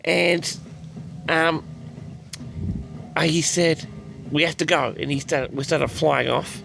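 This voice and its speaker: Very gravelly, raspy man, tired. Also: fairly high pitch, fairly even intonation, slow pace, noisy background. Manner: rambling, repeats and restarts sentences. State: tired, raspy from a late night. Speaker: audio log host. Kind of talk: casual rambling chat to audience.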